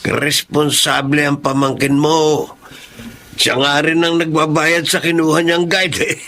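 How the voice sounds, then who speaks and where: Bright-voiced, kindly old grandfather. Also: a little high, level tone, unhurried. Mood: grateful, matter-of-fact. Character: proud, kindly elderly grandfather, jeepney driver. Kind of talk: radio drama acting.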